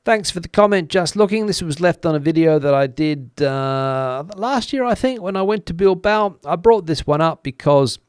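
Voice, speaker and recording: A man's voice: a little high, expressive, moderate pace, few pauses, clean tone, dry recording, studio quality. A middle-aged man, a native English speaker, hosting a casual live-stream news chat in a chatty, rambling way with many filler sounds. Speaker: news channel host. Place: home studio in front of a backdrop picture.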